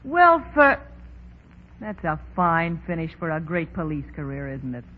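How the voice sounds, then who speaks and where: A woman's voice: low voice, big pitch swings, measured pace, heavily acted. A woman playing a shrewd, caring American cabaret boss in 1930s radio style, wry, slangy and familiar. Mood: concerned, teasing. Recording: dull lo-fi 1930s radio, faint music behind.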